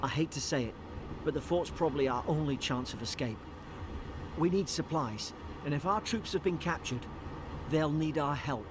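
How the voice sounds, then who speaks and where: An actor playing a loyal, worried naval gunner in a video game, earnest and respectful, with noise behind him. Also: male voice, fairly high pitch, animated, normal speed, close to an everyday voice. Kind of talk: video game character dialogue. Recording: clear background ambient noise from game.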